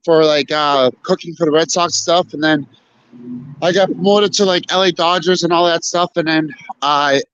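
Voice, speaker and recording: A man chatting as a guest on a video call, halting and repeating himself with lots of fillers, a little stuffy. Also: a little high, fairly even intonation, a bit bright, clear, resonant tone. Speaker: guest on stream. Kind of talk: casual chat. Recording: muffled lo-fi call audio.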